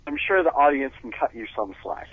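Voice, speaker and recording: Relaxed man on a tinny phone line. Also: medium pitch, a little fast. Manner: relaxed, gently teasing. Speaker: radio co-host guest. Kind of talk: casual radio chat.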